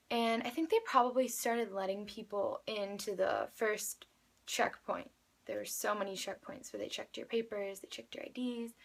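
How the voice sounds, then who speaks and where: A young woman of eighteen speaks with an American accent in a mid-range voice with plenty of vocal fry. She tells her story casually, as if chatting to a friend. Her delivery rambles, with frequent filler words and backtracking. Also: mid-range voice. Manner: chatty, rambling, youthful filler-laden speech. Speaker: vlogger, amateur singer. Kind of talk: casual personal storytelling to camera.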